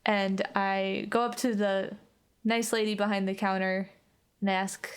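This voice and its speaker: A woman's voice: mid-range voice, level tone, unhurried, clear, resonant tone. A woman improvising casual first-person narration as a player in a tabletop roleplay game.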